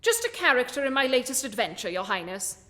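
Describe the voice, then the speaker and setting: A woman playing a composed male Victorian detective in a radio play, speaking in a measured, formal, dryly witty way. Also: high voice, big pitch swings, bright, forceful tone, exaggerated voice. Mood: courteous, dry. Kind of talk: scripted radio play acting. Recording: reverberant hall, faint music behind.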